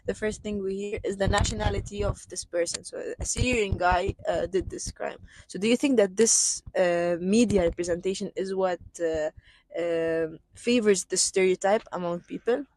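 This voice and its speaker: A woman interviewing a fellow student outdoors on a campus, asking her questions haltingly with fillers and restarts. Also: mid-range voice, fairly even intonation, darkish tone, slight background noise, rough recording.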